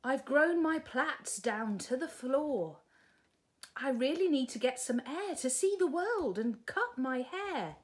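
A woman's voice: sits high, expressive, takes it slowly, voice pushed up. A woman voicing a bold, impatient young storybook princess in a cheeky, exclamatory way. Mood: bored, sighing. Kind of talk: storybook character reading.

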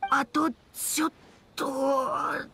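A woman's voice: sits high, little pitch movement, very slow, sharp tone, a little hiss or hum, exaggerated voice. A woman voicing an innocent little boy in an anime game, childlike, earnest and hesitant. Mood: earnest, puzzled.

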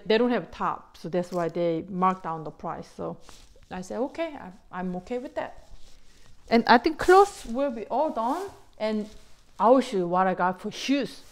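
A middle-aged woman, not a native English speaker, excitedly chatting to viewers about bargain clothes in simple English with many "you know"s. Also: medium pitch, unhurried. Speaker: vlogger showing a shopping haul. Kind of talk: casual chat to viewers.

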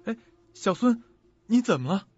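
A man's voice: high voice, very animated, moderate pace, husky, lo-fi sound, faint music behind, full character voice. An actor playing a concerned man visiting a sick colleague in a radio drama.

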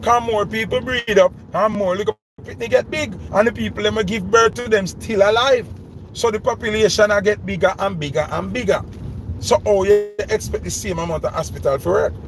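A man's voice: high voice, fairly quick. A man in his thirties with a Jamaican accent, giving emphatic commentary from inside a car, repeating his questions and mixing Patois with English. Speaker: vlogger. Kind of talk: ranting commentary. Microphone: phone camera held close. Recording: noisy car interior.

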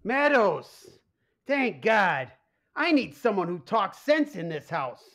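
A man's voice: high-pitched, very animated, slow, gravelly, fairly bright tone, slight background noise, full character voice. A man playing an anxious, exasperated mob boss and father in a comedic radio play. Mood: stressed. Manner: exasperated, blustering. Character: harried, anxious mob boss father who secretly runs pizzeria.

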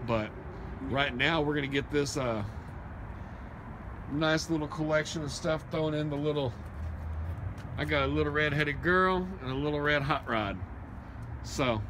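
A man's voice: fairly high pitch, unhurried, pauses often. A middle-aged man, bantering in an easygoing, joking way as a vlog co-host outdoors. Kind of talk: casual chat. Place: outdoors at storage units and a yard. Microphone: handheld phone camera. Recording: noisy outdoor phone recording.

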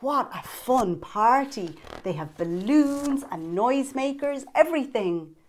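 A woman's voice: a little high, lively intonation, unhurried, a little mellow, a little hiss or hum. A woman in her thirties hosting storytime, reading a picture book aloud expressively to young children with dramatic emphasis.

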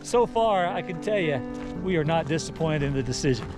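A man's voice: a little high, lively intonation, a little fast, loud music behind the voice. A man in his fifties hosting a travel vlog, talking casually and upbeat while hiking a desert canyon trail with a handheld camera. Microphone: handheld camera at arm's length.

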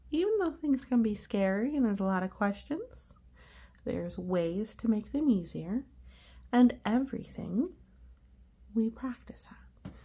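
A woman's voice: medium pitch, mellow, husky. A woman reading a storybook to young children, explaining things in a reassuring way. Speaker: storybook reader. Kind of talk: read-aloud commentary for young children.

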